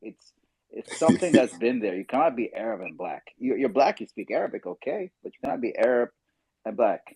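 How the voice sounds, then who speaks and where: A man hosting a casual podcast discussion, telling stories animatedly and quickly mimicking others in asides. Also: medium pitch, sing-song, warm tone, slightly rough, dry recording, poor audio. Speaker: podcast host.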